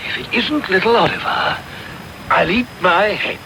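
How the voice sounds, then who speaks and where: Blustering old gentleman, high-pitched. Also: moderate pace, creaky. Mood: indignant. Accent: English. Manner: blustering, emphatic. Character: blustering, irascible old English gentleman, quick to condemn. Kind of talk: radio period drama acting. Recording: very noisy medium-wave radio recording.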